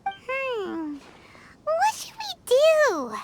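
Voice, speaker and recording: A woman voicing a tiny childlike fairy companion in a video game, squeaky, chirpy and blunt. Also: huge pitch swings, very slow, heavy background noise, exaggerated voice. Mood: curious. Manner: squeaky, childlike, scolding, refers to herself by name. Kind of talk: English video game voice acting. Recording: prominent game music behind the voice.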